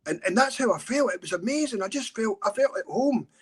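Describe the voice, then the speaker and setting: High-voiced Scottish man, urgent and earnest. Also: animated, a loud crowd. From Scotland. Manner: earnest, urgent, talks fast and at length. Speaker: campaigner and guest. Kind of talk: emotional casual storytelling.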